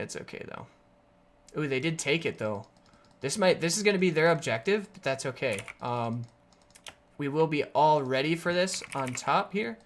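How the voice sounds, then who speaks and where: A game streamer giving live gameplay commentary in a raspy, sore voice, upbeat and explaining plays with sudden excited outbursts. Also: mid-range voice, slight background noise. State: raspy, sore voice from energy drinks.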